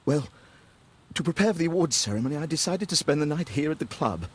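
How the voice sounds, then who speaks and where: An actor playing an earnest, upper-class Victorian club gentleman in a video game, British, hesitant and formal. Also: a little high, expressive, fast, breathy edge. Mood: shaken, insistent. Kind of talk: scripted video game acting.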